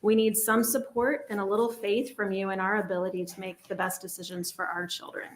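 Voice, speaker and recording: A woman reading prepared testimony at a hearing in an earnest, steady way. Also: medium pitch, brisk.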